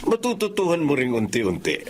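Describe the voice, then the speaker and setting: A man's voice: fairly high pitch, huge pitch swings, fairly quick, slight background noise. A man acting a genial, persuasive wealthy businessman in a Tagalog radio drama, with a Filipino accent. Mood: persuasive, smooth-talking.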